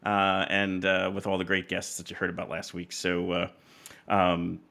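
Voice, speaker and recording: A man's voice: deep voice, completely flat delivery, normal speed, creaky, pushed and bright. A man hosting a casual chat podcast, talking in a rambling, hesitant way and often interrupting himself. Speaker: podcast host.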